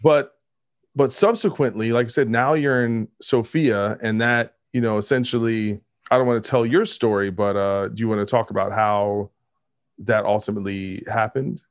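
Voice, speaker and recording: A man's voice: on the low side, steady tone, moderate pace. A man hosting a casual interview, asking curious questions with many restarts. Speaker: podcast host.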